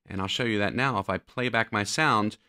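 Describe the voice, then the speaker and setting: A man narrating a software tutorial, explaining step by step like an instructor. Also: lowish voice, a little fast, smooth, no echo, clean studio sound.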